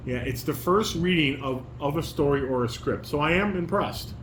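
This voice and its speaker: A man's voice: on the high side, normal speed, fairly bright tone. Male, instructive dad talking to a child, firm and quizzing, roomy echo with noise behind. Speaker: father leading a family table read. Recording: echoey room, background noise.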